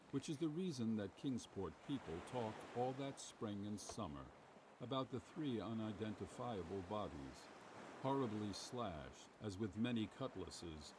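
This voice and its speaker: A man's voice: on the low side, lively intonation, normal speed, close to an everyday voice. A man playing the narrator of a macabre old tale, reading formal literary prose for a game. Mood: grimly wry. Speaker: story narrator. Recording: distant, noisy game audio with ambience.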